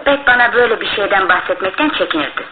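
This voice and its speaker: An actress playing a blunt, sharp-tongued young woman, a bereaved sister, in Turkish radio theatre. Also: mid-range voice, lively intonation, racing, natural voice. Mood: sober. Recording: phone-like radio with clear noise.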